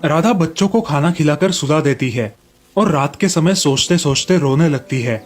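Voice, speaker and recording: Guy narrator telling kids moral stories, voice a bit high, scripted, soft music under it. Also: animated, normal speed.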